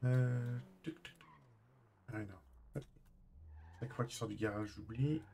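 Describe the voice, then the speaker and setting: A man's voice: medium pitch, unhurried, creaky, dark tone, a little hiss or hum. A man casually commenting on his roleplay game as he plays, often muttering to himself. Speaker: streamer playing a roleplay game. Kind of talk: casual gameplay commentary and in-character chat.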